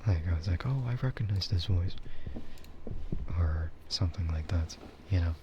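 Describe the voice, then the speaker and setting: A man chatting softly in a casual ASMR stream, often slipping toward a whisper, with a rambling, hesitant delivery. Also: sits low, moderate pace, muffled recording, noisy background. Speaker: VTuber streamer. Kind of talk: soft ASMR casual chat.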